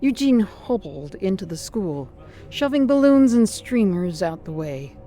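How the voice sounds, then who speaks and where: A narrator delivers scripted horror drama in short, clipped phrases. The reading is slow and deliberate, at a middling pitch, with music in the background. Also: big pitch swings, mellow.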